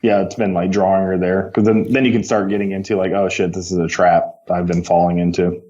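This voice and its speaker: Brief: male guest, lowish voice, relaxed and agreeable, slightly dull lo-fi audio. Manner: laid-back, agreeable, quick to chime in. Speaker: guest filmmaker. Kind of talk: casual brainstorming chat.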